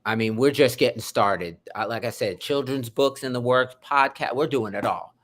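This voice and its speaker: Effusive, animated man talking. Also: mid-range voice, moderate pace. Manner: effusive, animated, swings loud and soft. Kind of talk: energetic interview conversation.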